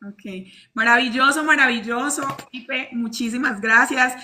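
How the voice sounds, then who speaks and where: A woman's voice: fairly high pitch, measured pace, sharp tone, a little hiss or hum. A woman with a Colombian accent, hosting an interview, enthusiastic and laughing easily as she asks questions. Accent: Colombian Spanish. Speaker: interviewer, host. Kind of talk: conversational interview.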